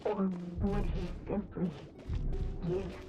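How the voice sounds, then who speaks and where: A woman playing an eerie, menacing storybook narrator in a video game, halting and insistent. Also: medium pitch, level tone, lo-fi sound, music in the background. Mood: ominous. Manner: halting, ominous.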